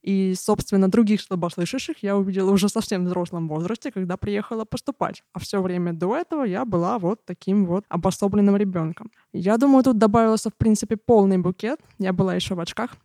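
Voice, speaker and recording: Warm-voiced woman in her twenties. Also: mid-range voice, quick pace, hardly any pauses, no room sound. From Russia. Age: 20s. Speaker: guest. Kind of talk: casual conversation.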